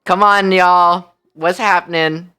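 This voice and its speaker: A woman livestream host telling a story casually, in an animated, incredulous, slangy way. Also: lowish voice, slow pace, clear, resonant tone, faint music behind.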